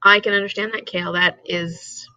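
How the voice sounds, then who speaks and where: A woman's voice: mid-range voice, steady tone, moderate pace, bright, forceful tone, no echo, low-quality recording. A giggly woman co-hosting a casual livestream chat, repeating words for emphasis. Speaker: co-host of a live reading sprint stream.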